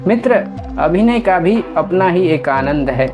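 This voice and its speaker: Lady doing a talking deer in a story, dramatic and sentimental, slow, music behind. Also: on the low side, expressive. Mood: playful. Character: talking deer, playful, sentimental old friend who overacts.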